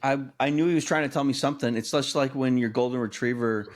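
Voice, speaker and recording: A man's voice: mid-range voice, fast, slight background noise. A man co-hosting a casual movie podcast, joking bluntly and swearing freely. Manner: blunt and profane, jokey. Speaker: podcast co-host. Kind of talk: casual chat.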